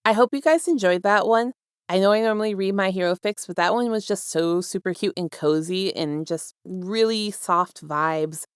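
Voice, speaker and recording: A woman's voice: mid-range voice, moderate pace, clear, resonant tone, no room sound, studio quality. A woman reading fan fiction aloud as a storyteller and speaking to her listeners. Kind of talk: scripted story narration, casual outro.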